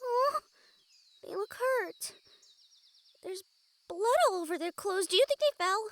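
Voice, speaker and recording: Woman acting a very high, bubbly harpy girl. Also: lively intonation, a little slow, a bit bright, exaggerated voice. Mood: concerned, pleading. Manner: eager, girlish, bubbly. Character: excitable, kind-hearted young female harpy, very tall. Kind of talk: audio roleplay acting.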